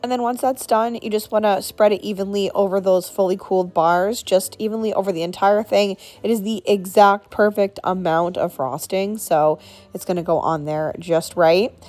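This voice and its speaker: A woman's voice: mid-range voice, fairly even intonation, normal speed, darkish tone. A woman in her thirties walks through a recipe in a loose, chatty way, full of little asides. Her voice sounds congested, as if she is getting over a sinus infection. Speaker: cooking channel host. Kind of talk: casual recipe walkthrough.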